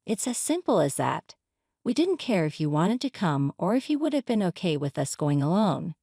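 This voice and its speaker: Woman reader as smug older mother-in-law, dismissive, animated pitch, dry story recording. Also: moderate pace, slightly rough, no echo, full character voice. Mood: smug, blunt. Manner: condescending, dismissive. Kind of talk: dramatized story reading.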